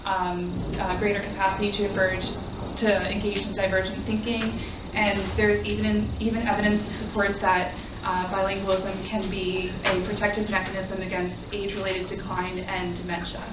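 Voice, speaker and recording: A young woman gives an academic talk in an earnest way, with plenty of ums. Her voice stays fairly flat in pitch and is picked up from a distance in an echoey room. There is clear background noise. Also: normal speed, highs cut off. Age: young adult. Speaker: graduate student presenter. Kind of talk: academic presentation.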